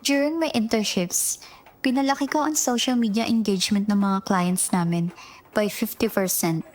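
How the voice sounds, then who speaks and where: Madaldal at kilig na dalagang Pilipina, ginagampanan ng aktres. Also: female voice, on the high side, poor audio. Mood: earnest. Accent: Filipino. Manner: expressive, gushing, exclamatory. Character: giddy, chatty young woman applying for an office job. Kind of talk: Filipino romance audio drama acting and narration.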